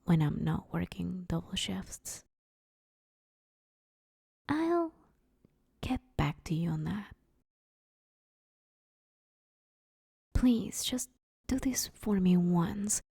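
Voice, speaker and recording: A woman's voice: medium pitch, lively intonation, takes it slowly, gravelly, long pauses, dark tone, husky, dry recording, studio quality, exaggerated voice. A woman playing a broke, sheepish but scheming villain in a conversational comedy audio roleplay, wheedling her neighbor in an awkward, hesitant way. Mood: pleading.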